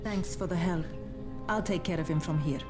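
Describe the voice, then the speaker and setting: Brisk practical female medic played by an actress. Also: on the low side, music in the background, natural voice. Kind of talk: video game voice acting.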